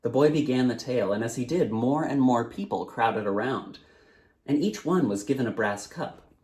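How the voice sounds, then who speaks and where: A man reading a storybook aloud as its author, in a dramatic, measured narrating style. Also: mid-range voice, lively intonation, a little mellow.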